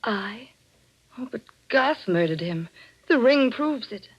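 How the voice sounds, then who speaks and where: An actress playing a cunning, high-strung widow who feigns innocence, in melodramatic 1940s radio style. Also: female voice, lively intonation, slow, dull audio. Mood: shocked denial. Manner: melodramatic, breathy dramatic delivery. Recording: vintage radio drama recording with music.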